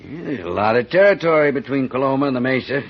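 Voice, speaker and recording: An actor playing a fretful, doubting small-town banker in an old-time radio western. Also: male voice, mid-range voice, animated, quick pace, breathy edge. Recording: muffled old radio recording.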